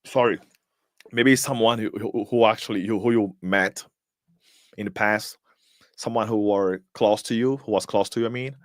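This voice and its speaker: A man's voice: on the low side, fairly even intonation, moderate pace, no room sound, studio quality. A man whose first language is Portuguese gives a live-streamed motivational talk as host. He is emphatic, fires off rhetorical questions, and repeats words as he searches for them.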